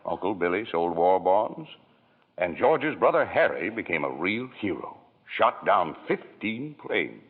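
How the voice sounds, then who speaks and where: An actor playing a calm, fatherly senior angel who tells the story in 1940s radio acting, husky and unhurried. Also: deep voice, heavily acted. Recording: tinny old radio broadcast.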